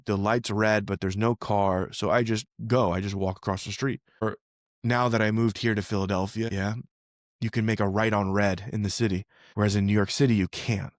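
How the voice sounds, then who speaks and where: A man hosting a podcast, explaining ideas in a relaxed, conversational way and often checking in with "right?". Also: on the low side, no echo, clean studio sound. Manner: conversational, frequent "like" and "right?" tag questions. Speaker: podcast host. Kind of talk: casual explanatory talk. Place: living in Philadelphia.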